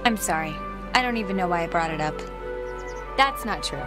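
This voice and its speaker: A woman's voice: fairly low pitch, lively intonation, brisk, lightly acted. A young American woman voicing a shy, apologetic teenage girl in a video game, hesitant and often trailing off. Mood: apologetic, wistful. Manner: hesitant, trailing off, self-correcting. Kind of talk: naturalistic conversational video game acting. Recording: game soundtrack music under the voice. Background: music.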